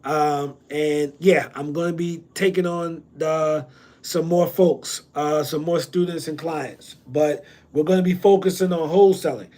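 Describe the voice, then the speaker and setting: A man's voice: on the high side, fairly even intonation, unhurried, mellow. A man from New Jersey hosting a loose livestream Q&A on real estate, slangy and repeating himself with many ums. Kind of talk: casual livestream Q&A.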